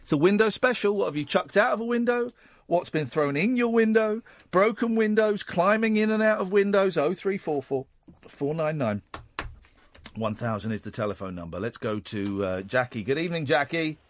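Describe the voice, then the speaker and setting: Male radio host, playful and teasing, high and lively voice, dry studio sound. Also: moderate pace, dry recording. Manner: playful, teasing, mock-flirty. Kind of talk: late-night phone-in radio. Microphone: studio mic.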